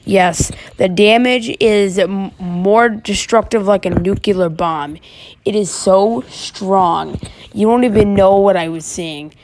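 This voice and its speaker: A woman's voice: medium pitch, slow, background noise. A woman acting as an alarmed TV news reporter covering a tornado in an amateur breaking news drama.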